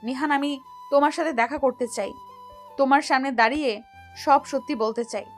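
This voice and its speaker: A woman playing a lovesick, remorseful younger sister in an audio love story, speaking softly and earnestly in a pleading way. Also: high voice, fairly quick, soft background music, close to an everyday voice. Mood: hesitant, longing.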